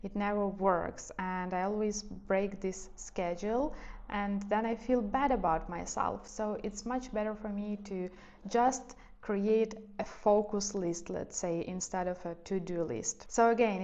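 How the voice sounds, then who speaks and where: A young woman who is not a native English speaker, giving gentle, reflective advice in a casual vlog and often hedging with little fillers. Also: mid-range voice, steady tone, measured pace. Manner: soft, reflective, hedging with "let's say" and "I don't know". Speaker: lifestyle vlogger. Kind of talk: casual advice talk to camera.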